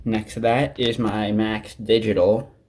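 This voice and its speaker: A man with a fairly low voice presents things from his collection in an unscripted, casual way. He rambles offhandedly and mutters asides to himself. The amateur home recording has some noise behind him. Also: fairly even intonation, measured pace, darkish tone. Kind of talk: casual unscripted show-and-tell. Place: indoors, at home by a desk. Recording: clearly noisy amateur recording.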